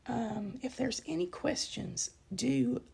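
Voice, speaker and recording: A young woman with a dry throat gives an indignant, emphatic campaign statement, often pausing with ums and correcting herself. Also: on the high side, animated, gravelly, a little mellow, husky. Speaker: channel host giving a campaign statement. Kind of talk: unscripted spoken statement to camera.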